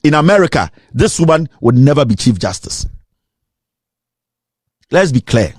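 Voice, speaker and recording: A man's voice: on the high side, very animated, normal speed, darkish tone, breathy edge, dry recording, studio quality. A man with a Ghanaian accent giving an impassioned political monologue, indignant and emphatic, repeating rhetorical questions. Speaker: political commentator.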